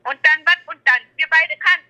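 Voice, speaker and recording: Woman caller, very bright piercing voice, broken German, playful and giggly. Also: high voice, normal speed, muffled recording. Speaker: caller in a random chat. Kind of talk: casual phone chat.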